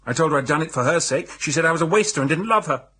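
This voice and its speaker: An actor playing a hard-up, lovelorn young English writer, hesitant, rueful and well-bred, in a radio drama. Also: male voice, fairly high pitch, animated, rapid-fire, bright, forceful tone, slightly rough, exaggerated voice. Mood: rueful, frank. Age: young adult.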